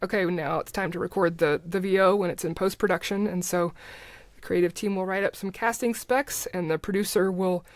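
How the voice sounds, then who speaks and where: A woman's voice: fairly low pitch, no echo. A woman talking casually as a podcast guest, explaining things informally and dropping in little quoted asides. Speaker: podcast guest, writer and advertising creative. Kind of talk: casual conversational interview, explaining.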